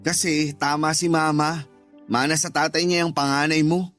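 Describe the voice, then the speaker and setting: A man's voice: on the high side, little pitch movement, normal speed, a bit bright, faint music behind, close to an everyday voice. A man playing a callous, irritable husband in a Tagalog radio drama, curt and dismissive. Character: callous, irritable husband who resents his stepchild.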